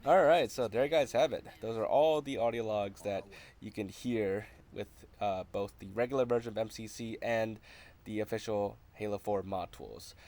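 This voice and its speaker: A man's voice: fairly low pitch, fairly even intonation, normal speed, a little mellow, a little hiss or hum. Casual man narrating a game walkthrough. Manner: conversational, explanatory. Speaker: game video host.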